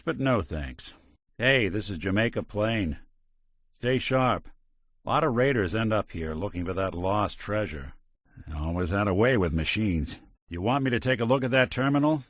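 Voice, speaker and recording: A man's voice: low voice, a bit bright, no room sound, heavily acted. An actor voicing a world-weary male robot detective in a game, talking in dry, hard-boiled wisecracks. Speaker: game companion character. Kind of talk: video game voice acting.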